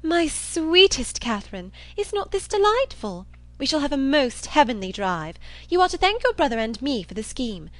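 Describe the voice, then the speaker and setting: A woman's voice: high-pitched, very animated, normal speed, a bit bright, no room sound, voice pushed up. A woman voicing a gushing, theatrical young lady who is effusive and pushy, in a storybook reading. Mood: ecstatic. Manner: effusive, exaggerated endearments.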